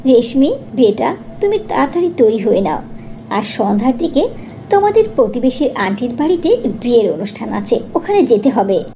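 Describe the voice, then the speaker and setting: A woman's voice: a little high, very animated, moderate pace, warm tone, slight background noise. Woman acting firm, caring cartoon mother. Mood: gentle coaxing. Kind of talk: cartoon story dialogue.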